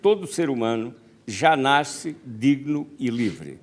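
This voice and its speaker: An older man with a Brazilian Portuguese accent, co-moderating a panel with a deliberate, rhetorical speaking style. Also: medium pitch, expressive, slight background noise. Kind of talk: formal speech and questioning.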